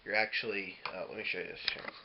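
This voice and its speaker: Guy w a lowish voice doing a laid-out-loose music how-to, kinda hesitant, muffled lofi audio. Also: steady tone, quick pace. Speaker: musician giving a tutorial. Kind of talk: casual instructional demo talk. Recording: dull lo-fi audio with clear hiss.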